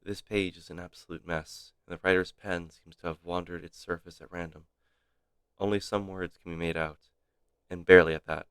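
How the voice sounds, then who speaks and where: A man streaming a game, reading its text aloud dramatically with measured, theatrical pauses. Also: low-pitched, little pitch movement, fairly bright tone, clean tone, no echo.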